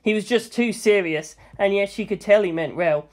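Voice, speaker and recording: Woman narrating a story, medium pitch. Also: normal speed, a little mellow. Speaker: narrator reading fan fiction.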